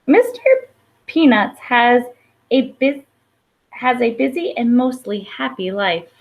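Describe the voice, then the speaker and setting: A woman reading a children's picture book aloud expressively, with pauses for emphasis and small asides. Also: a little high, animated. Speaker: storybook reader. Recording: dull, lo-fi sound.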